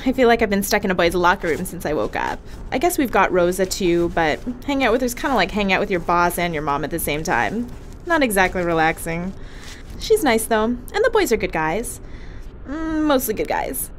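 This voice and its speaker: A woman's voice: medium pitch, brisk, slight background noise, close to an everyday voice. An actress playing a casual, teasing young woman who works as a spaceship medic. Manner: chatty, animated storyteller, casual and teasing. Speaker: ship medic.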